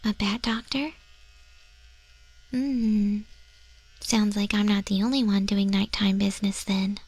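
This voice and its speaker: A woman voicing a friendly goth moth monster-girl tattoo artist in an ASMR roleplay, chatting gently in a soft, breathy, often whispered voice. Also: mid-range voice, flat delivery, a little slow, close to an everyday voice, poor audio. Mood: curious, chatty. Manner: gentle, casual, chatty, reassuring. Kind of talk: ASMR roleplay, spoken to the listener. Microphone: close microphone.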